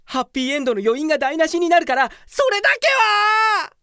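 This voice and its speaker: A woman's voice: extremely high pitch, lively intonation, fairly quick, bright, forceful tone, no room sound, clean studio sound, heavily acted. A woman acting as an earnest, self-absorbed, dramatic male office worker with big dreams in a comedic radio drama. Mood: panicked pleading. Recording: voice over clear background music.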